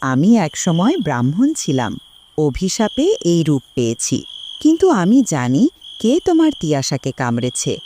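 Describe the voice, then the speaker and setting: Cartoon serpent played by a woman: very deep, solemn, helpful cursed sage, expressive delivery, noisy track. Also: unhurried, full character voice. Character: talking serpent, a cursed former Brahmin, calm and helpful. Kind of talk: animated story dialogue.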